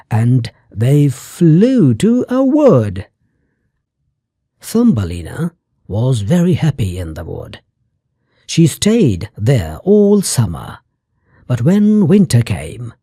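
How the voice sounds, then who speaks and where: An extremely slow fairy tale narrator with a mellow, slightly husky voice. The phrasing comes in careful chunks for language learners, with exaggerated rise and fall. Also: mid-range voice, no echo, clean studio sound. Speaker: storyteller.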